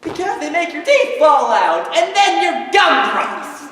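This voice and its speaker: A man's voice: extremely high pitch, big pitch swings, measured pace, a bit bright, exaggerated voice. A teenage boy playing a wisecracking stand-up comic on trial in a school stage play, landing punchlines broadly. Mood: cheeky. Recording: echoey school stage hall, distant mic, faint noise.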